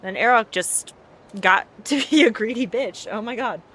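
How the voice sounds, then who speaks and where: A woman's voice: fairly high pitch, moderate pace, a bit bright, husky. A woman streamer giving informal, exclamatory and invested reaction commentary on a game, with slight noise.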